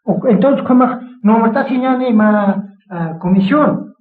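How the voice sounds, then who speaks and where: A man's voice: high-pitched, moderate pace, close to an everyday voice. A man playing a questioning church brother in a village radio drama, with a Bolivian Aymara accent. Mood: inquiring. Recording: tinny, echoey narrow band.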